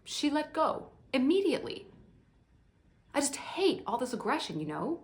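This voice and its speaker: A woman's voice: high voice, sing-song, normal speed. A woman performing a theatrical monologue as a breezy, self-absorbed party guest who rambles dramatically. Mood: indignant.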